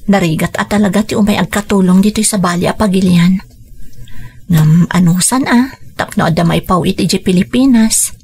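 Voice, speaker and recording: A woman's voice: medium pitch, moderate pace, a little mellow, husky. A woman playing a weary, dutiful younger sister working abroad in a radio drama, earnest and plaintive, with an Ilocano accent. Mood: tired.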